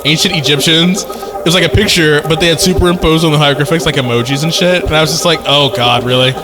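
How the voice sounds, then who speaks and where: A man's voice: fairly high pitch, fairly even intonation, fast. A man chatting casually as a comedy podcast guest, slangy and profane with exclaimed joking comments. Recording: music behind the voice.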